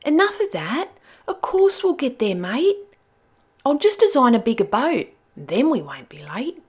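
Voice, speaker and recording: A spirited young girl dingo from a storybook, put on by a woman reader. She speaks high and very animated, brisk and reassuring, a bossy little optimist who rallies her mates. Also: slightly rough, exaggerated voice. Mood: firm, cheerful.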